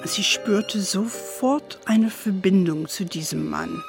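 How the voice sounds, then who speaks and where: A woman's voice: medium pitch, slow, gravelly. A woman narrating a German children's radio play in a storytelling style. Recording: music under the voice.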